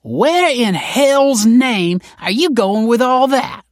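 A man's voice: very high-pitched, expressive, slow pace, dry recording, clean studio sound, voice pushed up, exaggerated voice. A man voicing a brash, belligerent, heavyset foster mother with snappish, scornful, slangy speech. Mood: angry.

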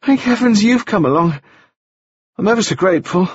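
A feverish, wounded young gentleman with a high, very bright voice. He is prim and overly courteous even while dazed and muddled. The sound is dull and dry, with a breathy edge. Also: normal speed, no room sound.

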